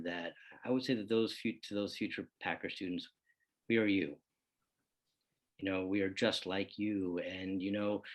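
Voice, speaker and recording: Man acting as a humble, low-voiced encourager. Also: lightly acted. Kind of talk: documentary theater monologue, conversational.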